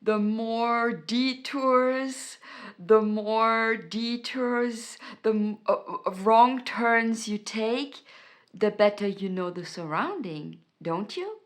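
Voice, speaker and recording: A woman's voice: a little high, takes it slowly. A woman from Austria, a native German speaker with an Austrian German accent, giving a motivational talk to her viewers. She speaks earnestly and searches for words, often restarting her phrases. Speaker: YouTube host.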